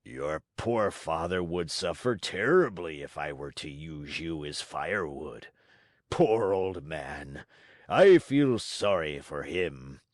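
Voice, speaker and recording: A man voicing hoarse, booming puppet-master Fire Eater. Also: lively intonation, takes it slowly, no room sound, studio quality, heavily acted. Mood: gruff. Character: gruff bearded male puppet-show director, hungry, bad-tempered yet softhearted.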